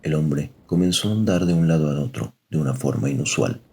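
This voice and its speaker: A man's voice: very deep voice, fairly quick, vocal fry, warm tone, breathy edge. A man narrating a scripted fiction story as a dramatic narrator.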